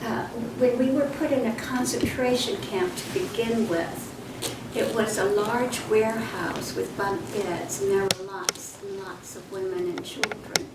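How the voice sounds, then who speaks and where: A woman in her sixties, born in Poland with Polish as her first language, telling a halting, reflective childhood story in an interview. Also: mid-range voice. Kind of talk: oral history recollection. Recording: echoey room, distant mic, background noise.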